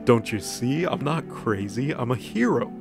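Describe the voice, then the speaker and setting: A man's voice: mid-range voice, animated, moderate pace, gravelly. A man voicing an obsessive, lovesick illusionist who thinks himself a hero, melodramatic and self-justifying, in a comic recap. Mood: obsessive, boastful. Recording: music under the voice.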